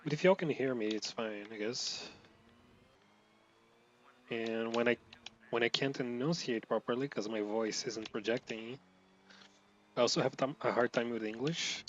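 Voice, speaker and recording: A man from Brazil commenting casually while playing a racing game, rambling, running words together, swearing, with loud outbursts at his mistakes. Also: medium pitch, measured pace, pauses often, highs cut off, slight background noise. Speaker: gameplay streamer.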